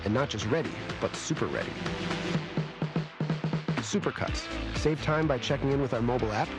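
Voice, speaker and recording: A man's voice: medium pitch, animated, moderate pace. A man reading a scripted radio commercial. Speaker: commercial announcer. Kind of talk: scripted radio advertisement read. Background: loud music.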